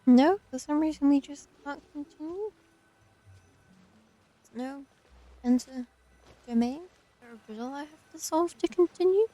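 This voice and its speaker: A woman's voice: high-pitched, unhurried, pauses often, warm tone, no echo, rough recording. A woman giving casual, wry gameplay commentary as she plays a video game. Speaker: let's play streamer. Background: video game music.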